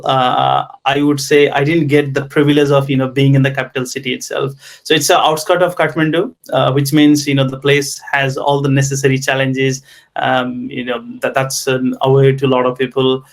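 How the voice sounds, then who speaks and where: A man with a Nepali accent, a tech entrepreneur in his thirties, telling his life story in an interview with many pauses and fillers. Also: mid-range voice, little pitch movement. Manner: hesitant, many ums and you knows.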